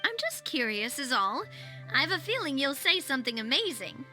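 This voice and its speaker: A woman playing a chatty, polite, curious young woman in a video game, with a chirpy and expressive delivery. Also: sits high, moderate pace, full character voice. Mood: playfully coaxing. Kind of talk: animated video game voice acting. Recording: faint background music.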